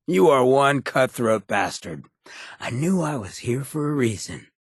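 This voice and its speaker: An actor playing a streetwise, blunt yet loyal ghoul mayor in a video game, with a husky rasp and a slangy drawl. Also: male voice, medium pitch, very animated, takes it slowly, no echo, studio quality, heavily acted. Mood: warm, candid. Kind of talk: video game companion dialogue.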